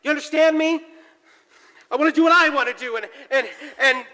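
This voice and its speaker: A man's voice: very high voice, brisk, bright, forceful tone. A man playing a flustered, stubborn young suitor in a 1940s radio drama, stammering and blustering as he goes. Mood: angry, passionate. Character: flustered, stubborn young man reluctantly courting, easily exasperated. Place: large church, before an audience. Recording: live church performance, long hall reverb.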